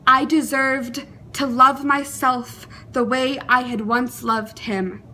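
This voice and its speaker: A young adult woman performing a dramatic monologue in an earnest, deliberate, emotive way, with pauses. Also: high voice, fairly even intonation, sharp tone, a little hiss or hum.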